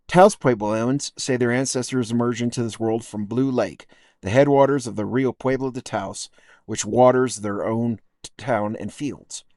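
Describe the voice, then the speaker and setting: A man in his fifties with an American accent, reading a scripted personal essay in a measured, reflective, wry way. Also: mid-range voice, fairly even intonation, a little mellow, no echo, clean studio sound. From United States, upstate New York and Texas. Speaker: podcast writer and narrator.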